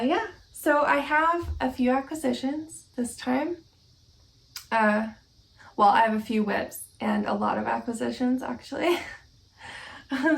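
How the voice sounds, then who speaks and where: Slow, gravelly-voiced young woman. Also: fairly high pitch, rough recording. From Oklahoma, United States. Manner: relaxed, many ums and small laughs. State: slightly scratchy from allergies. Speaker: knitting podcast host. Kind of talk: casual chat to camera. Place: at home, indoors.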